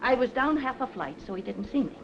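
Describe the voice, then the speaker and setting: A woman's voice: a little high, animated, fast talker, gravelly, background noise. An actress in a 1940s film playing a talkative, indignant working-class woman with colloquial grammar and a dramatic way of speaking. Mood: dramatic recounting. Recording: dull 1940s film soundtrack with music.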